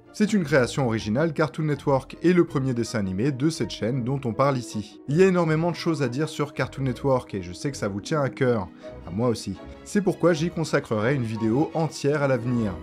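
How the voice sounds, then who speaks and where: A man's voice: mid-range voice, darkish tone. A man presenting a scripted comedic video essay in a sarcastic, informal way, as a YouTuber.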